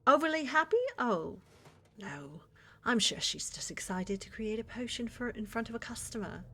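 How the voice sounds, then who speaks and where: A woman's voice: a little high, expressive, normal speed, a bit bright, slightly rough, heavily acted. A woman playing a flirty, motherly witch shopkeeper in an ASMR fantasy roleplay, with a coaxing, teasing manner. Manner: coaxing, teasing, addresses listener as dear.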